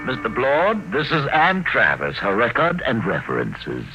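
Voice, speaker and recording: A man's voice: mid-range voice, sing-song, measured pace, music in the background. An actor playing a chatty, officious male employment official who gushes with admiration in a 1950s radio drama. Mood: admiring. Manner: effusive, repeats words for emphasis. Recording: muffled, noisy old radio recording.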